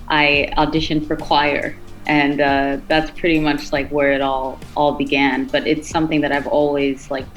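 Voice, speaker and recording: A woman singer with a raspy, rugged voice, chatting as a podcast guest, loose and full of "like" and "you know". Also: sits low, rough recording. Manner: relaxed, many fillers like "like" and "you know". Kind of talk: casual interview talk.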